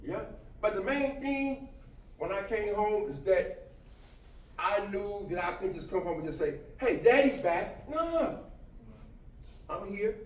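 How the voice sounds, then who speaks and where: Lively man in his fifties, echoey room. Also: high voice, slow pace, pauses often, far from the mic. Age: 50s. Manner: animated, laughs often, jokes with the audience. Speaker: motivational speaker. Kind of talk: casual talk to a class. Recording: echoey room, from afar, faint noise.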